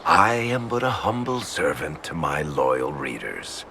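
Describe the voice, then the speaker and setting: A man's voice: rumbling low, sing-song, unhurried, bright, forceful tone, a little hiss or hum, exaggerated voice. A man playing a wry, loyal rogue storyteller in a video game, sardonic and casual with a theatrical streak. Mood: smug, amused. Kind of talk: video game character acting.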